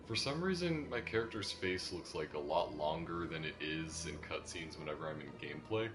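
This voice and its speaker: A man with a deep voice gives casual, live commentary on the game he is streaming. His talk is informal and chatty. Game music plays clearly behind him. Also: animated, moderate pace. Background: video game music.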